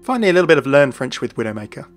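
Man, fast-talking, gaming video host, scripted explainer narration, faint music. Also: medium pitch, very animated. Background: background music.

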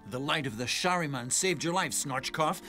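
An actor playing a young heroic prince in a cartoon, plainspoken and confident. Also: male voice, a little high, normal speed, bright, forceful tone, soft background music. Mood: calm, wry. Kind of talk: cartoon acting.

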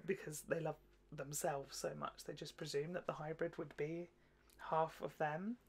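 A man's voice: a little high, little pitch movement, normal speed, slightly rough. A young man chatting casually as a reaction show host, explaining things as a fan. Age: young adult. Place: small room at home, indoors. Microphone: desk microphone between two hosts.

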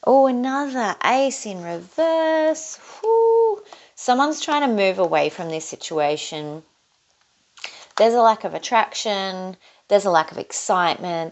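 A woman's voice: mid-range voice, darkish tone. A woman with an Australian accent giving a casual tarot reading, thinking aloud as she draws cards. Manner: chatty, thinking aloud while drawing cards. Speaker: tarot reader. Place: indoors at a table, overhead camera on her hands.

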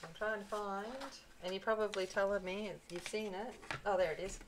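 A woman's voice: medium pitch, level tone, moderate pace, noisy background. A middle-aged woman from North Queensland with a broad Australian accent, chatting casually and self-deprecatingly while crafting at her desk. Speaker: papercraft channel host. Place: home craft desk, indoors. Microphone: tabletop mic, overhead camera on hands.

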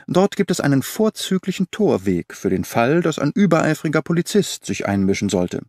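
A man voicing a brisk, commanding male detective with a clipped, authoritative delivery. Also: on the high side, big pitch swings, darkish tone, no echo, studio quality, voice pushed up. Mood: practical.

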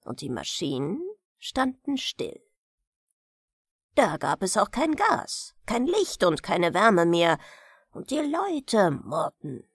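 A woman reading a fairy tale as a bedtime audiobook, in an expressive, unhurried storytelling manner. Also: medium pitch, slightly rough, dry recording, clean studio sound. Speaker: audiobook reader of fairy tales.

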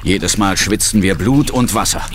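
A man's voice: on the low side, normal speed, a bit bright, a little hiss or hum, close to an everyday voice. An actor playing an intense ghost hunter who narrates his own peril in a horror audio drama. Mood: tense. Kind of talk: scripted first-person horror narration. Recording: dramatic music underneath.

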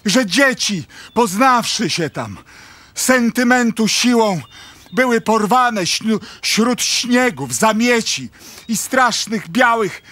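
A man's voice: high-pitched, animated, slow pace, bright, forceful tone, no echo, full character voice. A man playing an indignant, frantic aristocratic father in a radio drama, with a blustering, exclamatory theatrical delivery.

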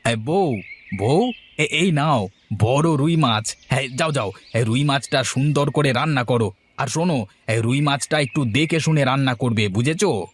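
A man's voice: medium pitch, measured pace, husky. A man playing an exasperated, hungry village farmer husband who complains dramatically in a comedy cartoon. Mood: eager, bossy. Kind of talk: Bengali comedy cartoon dialogue.

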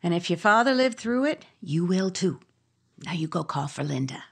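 Low-pitched, gentle radio sitcom mom played by a woman. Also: lively intonation, moderate pace, creaky, slightly rough, heavily acted. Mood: reassuring. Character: gentle, patient wife and mother in 1950s sitcom. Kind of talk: 1950s radio sitcom acting.

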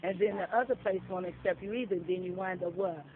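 A woman's voice: fairly low pitch, fast talker. A woman, a shelter resident, telling a story in casual chat, blunt and profane, acting out the dialogue she quotes, recorded on a phone outdoors. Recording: tinny phone-like audio, faint noise.

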